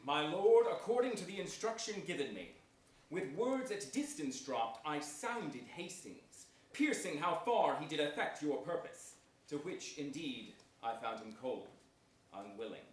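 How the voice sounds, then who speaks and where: A man's voice: fairly high pitch, sing-song, measured pace. An actor playing a dutiful henchman giving a sober report, formal and emphatic in Shakespearean stage style. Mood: grave reporting. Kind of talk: theatrical Shakespearean stage acting. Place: theater stage before an audience. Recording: very echoey distant stage pickup.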